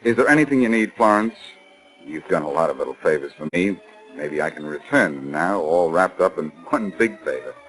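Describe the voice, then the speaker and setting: An actor playing a wry, self-assured amateur sleuth with an American accent in 1940s radio drama style. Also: male voice, low-pitched, lively intonation, brisk, fairly bright tone, soft background music, rough recording. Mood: probing, considerate. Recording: remastered 1940s radio recording.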